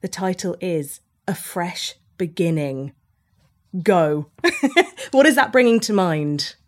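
A woman's voice: on the low side, animated, takes it slowly. A woman, hosting a podcast in a chatty interview style. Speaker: podcast host.

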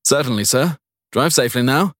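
A man's voice: medium pitch, fairly quick, a bit bright, no room sound, studio quality, pitched above the performer's own voice, natural voice. A man voicing a stern, suspicious police officer in a crime audiobook, giving clipped, formal orders with curt politeness. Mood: curt, official. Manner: clipped formal commands ending in "sir". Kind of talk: audiobook crime story character voice.

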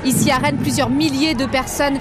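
A woman reporter delivering a scripted news voice-over in a crisp manner. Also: high-pitched, steady tone, moderate pace, over loud music.

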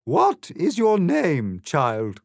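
A man's voice: fairly high pitch, huge pitch swings, drawn-out words, vocal fry, dry recording, clean studio sound, pitched above the performer's own voice, exaggerated voice. A man voicing an imperious, cruel queen of a card kingdom who speaks grandly and screams in bursts.

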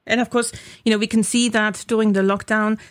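A woman interviewer with a non-British accent, asking thoughtful, measured questions. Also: a little high, flat delivery, a little hiss or hum. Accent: non-British, European. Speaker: interviewer host.

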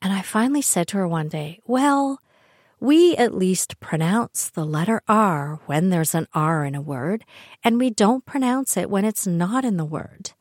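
A woman's voice: medium pitch, a little slow, dry recording, clean studio sound. An older woman with a Canadian accent, hosting a podcast and telling personal family stories in a chatty, wry way. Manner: chatty, wry, animated asides. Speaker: podcast host. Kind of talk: personal storytelling monologue.

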